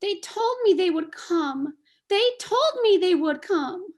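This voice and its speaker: A woman playing a frightened, abandoned little girl in a stage play reading, speaking in a halting, plaintive, repetitive way. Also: extremely high pitch, lively intonation, heavily acted. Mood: forlorn, insistent.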